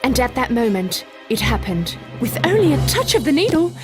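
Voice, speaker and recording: A woman tells a bedtime fairy tale with a highly animated, fairly high voice. Her scripted narration is expressive, deliberate and dramatic. Music plays clearly behind her. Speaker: children's story narrator.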